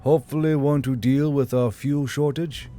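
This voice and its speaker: Earnest, questioning soldier played by a man, mellow. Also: medium pitch, fairly even intonation, normal speed, lightly acted. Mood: wry. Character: curious, concerned male soldier, loyal comrade of the commander. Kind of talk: audiobook character voice. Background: soft background music.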